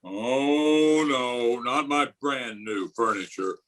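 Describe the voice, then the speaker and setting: A man's voice: medium pitch, slow pace, a bit bright. A man playing an exasperated, pompous middle-aged school principal in an old-time radio comedy style. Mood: mournful, melodramatic. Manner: pompous, indignant, theatrical. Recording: remote video-call recording.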